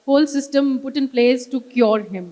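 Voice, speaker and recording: A woman's voice: sits high, a little slow, warm tone. A woman interpreting live on stage, relaying speech in careful segments.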